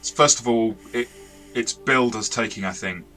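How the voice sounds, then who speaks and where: A man's voice: medium pitch, unhurried, pushed and bright, faint music behind. A man co-hosting a casual review chat as the game master, chatty and stammering on restarts. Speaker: podcast co-host, game master.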